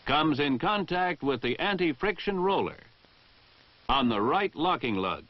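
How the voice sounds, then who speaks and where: Man, American accent, formal training film narrator, lively intonation, precise enunciation, dry muffled vintage soundtrack. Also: a little high, measured pace. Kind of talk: scripted instructional narration, 1960 military training film. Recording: dull 1960 film soundtrack.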